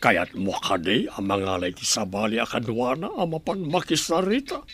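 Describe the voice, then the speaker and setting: An actor playing an earnest, insistent young adult son in a radio drama, speaking with an Ilocano accent. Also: male voice, mid-range voice, expressive, normal speed, a bit bright, breathy edge.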